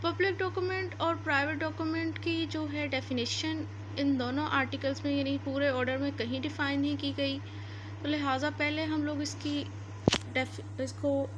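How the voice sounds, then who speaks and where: A woman's voice: high voice, background noise. A woman with a Pakistani accent teaching as a lecturer, explaining in a didactic way and restating her points, mixing in English terms. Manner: didactic, repeats and rephrases points. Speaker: law lecturer.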